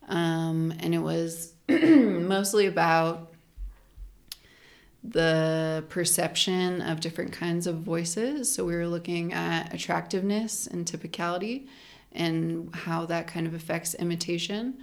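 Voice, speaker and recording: A woman's voice: on the low side, slow. A young adult woman, a linguist guest on a podcast, talking casually and explaining ideas with lots of "like" fillers. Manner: chatty, frequent "like" fillers, laughs while explaining.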